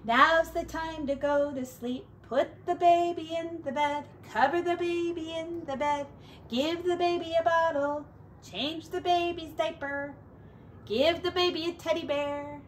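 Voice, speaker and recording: Woman, teacher, high voice, slow pace, expressive children's read-aloud, drawn-out words, faint background noise. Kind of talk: read-aloud and sing-song play for young children.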